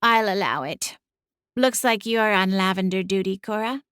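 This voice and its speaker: A woman's voice: medium pitch, normal speed, a bit bright, clear, resonant tone, no echo, natural voice. A woman voicing a sarcastic, superior female talking cat in an audiobook, with a dry manner. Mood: grudging. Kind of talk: audiobook character acting.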